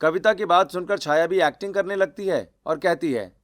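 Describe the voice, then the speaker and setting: A man's voice: high-pitched, normal speed. A man narrating a scripted cartoon family story.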